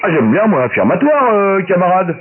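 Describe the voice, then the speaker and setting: Male ham operator, higher-pitched, animated, slangy and boastful; heard through narrowband shortwave. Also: normal speed. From Paris, France. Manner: colloquial, boastful, repeats phrases for emphasis. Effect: shortwave radio voice. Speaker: amateur radio operator. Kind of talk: casual radio chat, anecdotes. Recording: very noisy narrowband shortwave radio transmission. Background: loud radio static.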